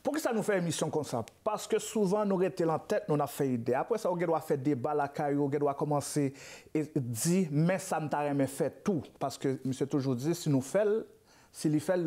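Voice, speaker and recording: A man's voice: a little high, normal speed, warm tone. A man in a casual talk show who asks hesitant questions and keeps answering with short agreements.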